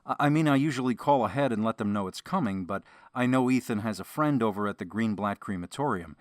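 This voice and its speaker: A man's voice: lowish voice, expressive, fast talker, dry recording, natural voice. Mild male mortuary doctor acted by a man, dry sound. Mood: troubled. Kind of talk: modern audio drama acting.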